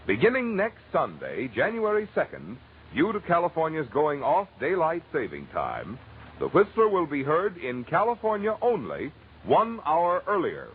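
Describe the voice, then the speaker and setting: A man's voice: a little high, breathy edge, phone-quality sound. A man with an American accent announcing a radio programme in formal, emphatic 1940s style. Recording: thin vintage radio recording.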